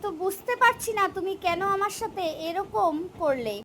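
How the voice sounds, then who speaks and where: A woman voicing a hungry, gullible tiger in an animated children's story, bossy but easily scared, with drawn-out delivery. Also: very high-pitched, slight background noise. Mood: pleading.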